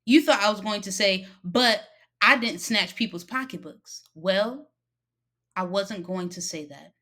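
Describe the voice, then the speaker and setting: A woman's voice: mid-range voice, lively intonation, a little slow, sharp tone. A woman voicing a stern but caring older woman in a story read-aloud, with a firm, folksy, emphatic way of scolding. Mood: reflective, firm.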